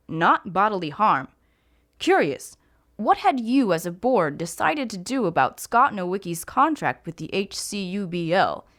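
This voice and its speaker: Shrewd, particular male sleuth played by a female narrator, deliberate and very varied in pitch, storybook mystery. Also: a bit bright. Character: fussy, shrewd man who sleuths and walks with a cane.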